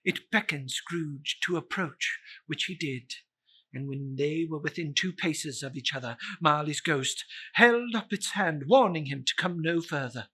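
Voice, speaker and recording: A man reads a classic tale as a dramatic narrator. His delivery is slow and theatrical, with a bright, forceful edge and measured phrasing. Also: on the high side, expressive, slightly rough.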